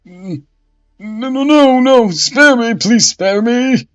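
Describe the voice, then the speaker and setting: A shrieking, terrified old bishop. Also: male voice, lively intonation, takes it slowly, exaggerated voice. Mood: begging. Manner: shrill, stammering, begging. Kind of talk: hobby voice acting of a dark fantasy scene. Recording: dull lo-fi audio with faint music.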